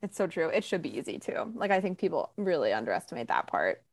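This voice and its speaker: A woman with a fairly low voice hosts a casual podcast. She speaks emphatically and gives her opinions in loose, conversational phrases peppered with "like". The sound is dry, with very little room in it. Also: big pitch swings, fast talker, no room sound. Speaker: podcast host.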